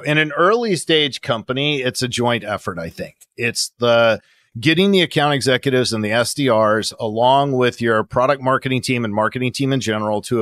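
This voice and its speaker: Male consultant, interview guest. Average pitch, methodical explainer who numbers his points, very dry recording. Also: fairly bright tone, no room sound. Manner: methodical, enumerating points, checking with "right?".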